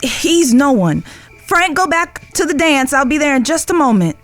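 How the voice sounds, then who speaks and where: Frontier heroine, female actor: young, anxious, secretive, high lively voice, soft music behind. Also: normal speed. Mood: placating, anxious. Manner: pleading, placating. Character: anxious, secretive young lady of the Old West. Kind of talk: Western audio drama acting.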